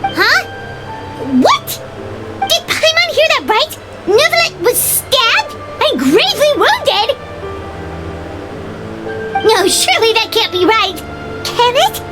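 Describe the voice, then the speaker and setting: A tiny, excitable fairy sidekick in a video game. The voice is extremely high, squeaky and childlike, swinging up and down with dramatic exclamations. Delivery is drawn out and slow, over loud game music. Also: female voice, exaggerated voice. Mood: shocked, worried. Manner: exclamatory, dramatic, refers to herself by name. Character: small excitable childlike female fairy companion. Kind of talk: English video game voice acting.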